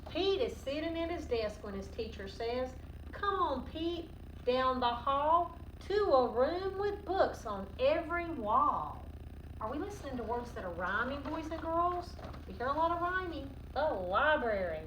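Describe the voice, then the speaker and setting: A woman's voice: high-pitched, very animated, a little slow. A woman teacher doing a children's read-aloud lesson in an instructive, questioning, sing-song manner. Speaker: teacher reading a picture book aloud to children. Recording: roomy, distant mic, noticeable background noise.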